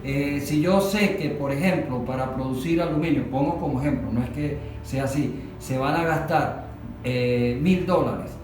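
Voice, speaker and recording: A Venezuelan man speaking unscripted in a discussion, explaining haltingly and repeating phrases for emphasis. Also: medium pitch. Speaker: industrial worker giving testimony. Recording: very echoey room, distant mic, faint noise.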